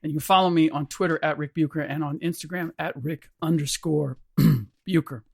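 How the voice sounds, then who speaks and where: A middle-aged man hosting a podcast in casual chat, his voice hoarse and a little rough, rambling with restarts and small chuckles. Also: fairly high pitch, steady tone, a little fast, no echo, studio quality. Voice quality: slightly rough voice. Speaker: podcast host.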